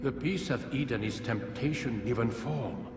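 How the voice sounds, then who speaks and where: A man playing a grave, wise older master of an assassin order in a video game, measured and instructive. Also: mid-range voice, lightly acted. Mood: philosophical. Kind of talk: dramatic video game cutscene acting. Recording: very echoey stone hall, distant, music. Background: music.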